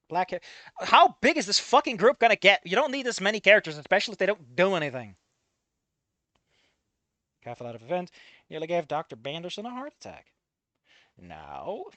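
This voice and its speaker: A man's voice: high voice, a bit bright, no room sound. A man reading fan fiction aloud, breaking off to swear and comment on it.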